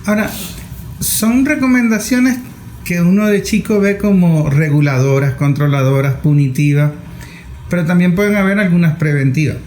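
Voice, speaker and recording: A man's voice: high voice, moderate pace, a little mellow. A middle-aged man interviewing conversationally and sharing personal anecdotes. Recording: echoey room with faint noise.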